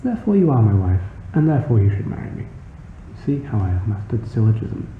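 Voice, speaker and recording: Man playing a pompous, insistent suitor, quick. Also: on the low side, sing-song. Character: insistent, pedantic male suitor proposing marriage. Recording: muffled phone-like sound, noisy, roomy echo.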